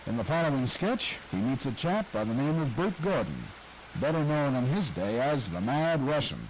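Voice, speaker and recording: Man narrating radio history, lively. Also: mid-range voice, moderate pace, poor audio. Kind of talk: scripted retro radio narration. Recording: faint hiss on cassette tape.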